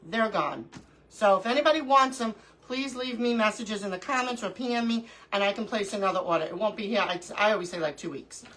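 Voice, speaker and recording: A woman's voice: mid-range voice, a little fast, fairly bright tone, poor audio. A middle-aged woman hosting a casual craft livestream, stuffy and sniffling from allergies, chatting with viewers and greeting them by name. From New York.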